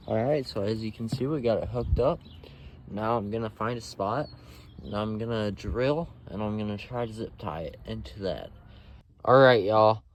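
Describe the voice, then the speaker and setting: A man giving a casual hands-on tutorial in an informal, unpolished way, thinking aloud as he works. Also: fairly low pitch. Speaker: amateur video host. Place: in and around a pickup truck. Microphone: phone camera mic. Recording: noisy phone recording, vehicle noise, clicks.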